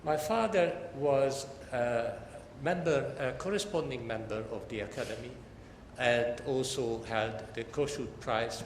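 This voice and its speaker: An older man from Hungary with a Hungarian accent gives a formal academic lecture as a professor, in measured phrases that often pause mid-sentence. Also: medium pitch. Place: large lecture hall, talking to an audience. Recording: echoey lecture hall with background noise.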